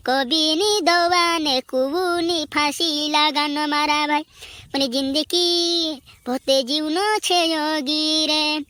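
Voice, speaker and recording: A performer voicing a heartbroken, melodramatic cartoon lover in a squeaky, whining voice. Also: fairly bright tone, no echo. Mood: mournful. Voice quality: squeaky, artificially high voice. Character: heartbroken cartoon lover wailing over betrayal, squeaky and dramatic. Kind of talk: amateur comedy cartoon dub.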